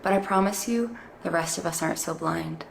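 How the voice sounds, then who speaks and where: A woman playing a sweet, flattering female hairstylist in a comedy skit, earnest and soothing. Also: fairly low pitch, fairly quick, creaky, roomy sound, a little hiss or hum, voice pushed down. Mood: sympathetic.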